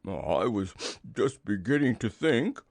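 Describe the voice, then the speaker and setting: Slow gentle bear, gloomy, dim-witted, creaky, storybook character. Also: male voice, mid-range voice, sing-song, dry recording, exaggerated voice. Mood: sniffling, sorry. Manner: slow, ponderous, drawn-out delivery.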